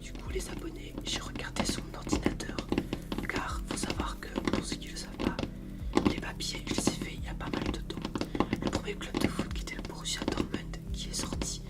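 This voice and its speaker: A young man whispering in an ASMR video, reading an article aloud in a soft, halting way. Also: distant mic. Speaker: ASMR creator.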